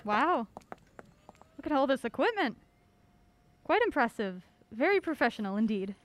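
A woman's voice: sits high, very animated, normal speed. A woman playing a curious, polite young woman drawn into a mystery in an audio drama.

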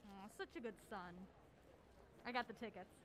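A woman playing a mild-mannered young film buff in an audio drama, polite and quick-witted in her banter. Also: mid-range voice, slight background noise, lightly acted, low-quality recording. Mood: polite, friendly. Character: mild-mannered young female video store assistant manager, film buff.

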